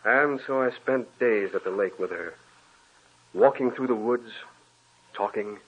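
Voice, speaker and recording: A haunted, confessional author in an old radio drama, his husky voice breaking into anguish. The sound is thin and tinny, like a vintage broadcast heard over a narrow band. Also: mid-range voice, a little slow, fairly bright tone. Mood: wistful. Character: guilt-ridden male author haunted by a dead love. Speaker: narrator of his own story. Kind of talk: 1940s radio acting.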